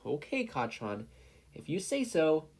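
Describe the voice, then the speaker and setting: An earnest, sensitive little boy , put on by an audiobook narrator, who is stubborn and insistent. The voice is lifted well above the narrator's own, lively and a touch husky. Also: medium pitch, measured pace, pitched above the performer's own voice, full character voice. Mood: pleading, indignant.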